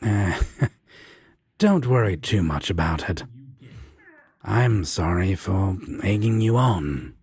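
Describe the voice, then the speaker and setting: A man playing a teasing, soft-hearted demon roommate in an intimate audio roleplay, talking casually and with gruff affection. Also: sits low, animated, slow, pauses often, dry recording, exaggerated voice. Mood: soft, sincere.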